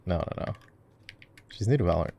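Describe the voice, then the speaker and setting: A man playing a relaxed, playful gamer boyfriend in a casual roleplay, chatting with easy slang as he games and coaches his girlfriend. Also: sits low, brisk, gravelly, a little hiss or hum. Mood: easygoing, engaged. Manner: laid-back, giggly, casual slangy talk. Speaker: ASMR roleplay voice actor. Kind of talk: casual ASMR roleplay, gaming chat.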